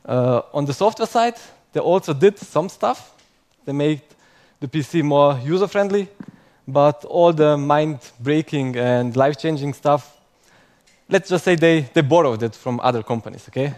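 Young non-native male tech speaker, self-mocking, fairly high voice, tags lines with "okay?". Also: lively intonation, moderate pace, darkish tone. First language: not English. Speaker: conference speaker. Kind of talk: conference talk to a live audience.

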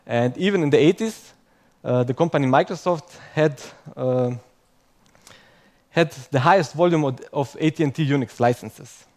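Young non-native male tech speaker, self-mocking, fairly high voice, tags lines with "okay?". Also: moderate pace, darkish tone. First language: not English. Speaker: conference speaker. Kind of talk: conference talk to a live audience.

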